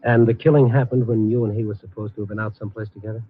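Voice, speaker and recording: An actor playing a world-weary, sympathetic newspaper columnist in a 1940s radio drama. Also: male voice, lowish voice, little pitch movement, rapid-fire, a little hiss or hum, lightly acted. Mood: probing. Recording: tinny, narrow-band vintage radio recording.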